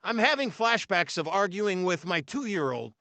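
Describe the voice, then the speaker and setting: A man's voice: sits high, big pitch swings, moderate pace, pushed and bright, a little hiss or hum. A man giving wry, joking reaction commentary as the commentator on a video.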